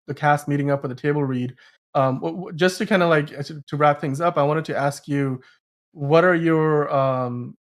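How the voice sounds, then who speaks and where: A man hosting a casual film discussion podcast, talking in a rambling, emphatic way and often stumbling over his words. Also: medium pitch. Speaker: podcast host.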